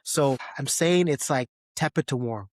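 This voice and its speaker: A man's voice: mid-range voice, a little slow, dry recording, studio quality. A man co-hosting a podcast, giving a casual film review and animatedly acting out bits as he goes. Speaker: podcast co-host.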